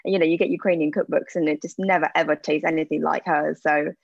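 Low, gravelly woman. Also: steady tone, quick pace, no echo. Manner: chatty, candid, with frequent fillers. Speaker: interview guest, aesthetic practitioner. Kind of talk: casual interview answers. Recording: dull lo-fi sound.

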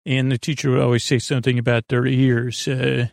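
A man hosting a podcast with a meandering bedtime monologue, halting and digressive, trailing off and restarting his sentences in a creaky voice. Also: medium pitch, little pitch movement, darkish tone, no echo, studio quality. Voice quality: creaky, gravelly. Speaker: podcast host.

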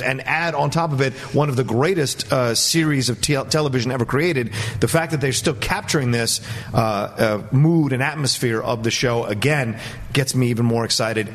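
A man talks as a panelist on a movie news show, with a medium-pitched voice. He gives his opinions with open enthusiasm and leans on his points emphatically.

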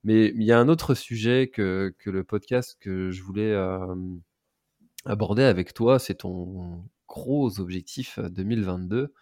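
A man's voice: on the low side, a little slow, a little mellow, no echo, studio quality. A male podcast host asking questions casually, hesitant with fillers. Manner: hesitant, hands over to guest. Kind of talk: casual interview talk.

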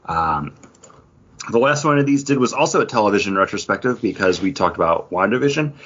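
A man's voice: fairly low pitch, animated, a little fast, a bit bright, husky, lo-fi sound. A man co-hosting a casual podcast chat, often acting out his own thoughts as he talks. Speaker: podcast co-host. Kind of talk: casual chat about comics and TV.

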